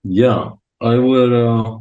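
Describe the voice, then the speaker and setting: A man's voice: medium pitch, fairly even intonation, very slow, a little mellow, dull audio. A young man from Croatia telling stories informally and enthusiastically as a guest in a casual interview. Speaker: podcast guest, chess coach. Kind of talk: casual interview chat, storytelling.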